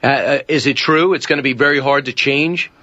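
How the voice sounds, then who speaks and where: A man's voice: medium pitch, fairly quick, pushed and bright, no room sound, lo-fi sound. A man hosting a talk radio show, putting questions to his guest and steering the segment. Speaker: radio host.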